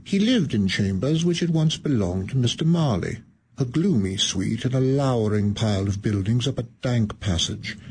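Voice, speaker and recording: Measured male radio-play narrator. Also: mid-range voice, a little mellow, slightly rough. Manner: measured, evocative, deliberate. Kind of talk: dramatic storytelling narration.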